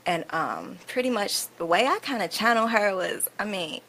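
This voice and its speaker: A woman being interviewed, reflecting in an earnest, expressive, conversational way as an actress guest. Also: mid-range voice, moderate pace, creaky, rough recording. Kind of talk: personal reflection in casual interview.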